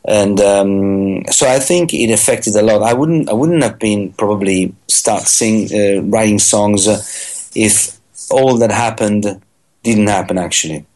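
Halting middle-aged raised man. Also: lowish voice, a little mellow. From Italy. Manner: halting, many fillers and restarts. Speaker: musician guest. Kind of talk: interview answers.

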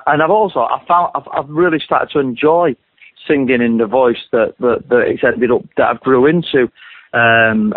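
A middle-aged man with a deep voice, a singer-songwriter rambling through an interview with many restarts and repeated words. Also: no room sound. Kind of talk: casual interview talk. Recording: dry tinny phone line.

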